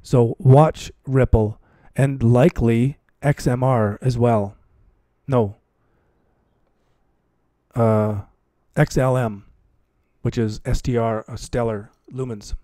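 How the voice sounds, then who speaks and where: A man's voice: mid-range voice, fairly even intonation, slow, dark tone, no room sound, clean studio sound. A man hosting a live stream, commenting casually and chatting with viewers, often repeating phrases for emphasis. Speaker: livestream host and cryptocurrency trading commentator.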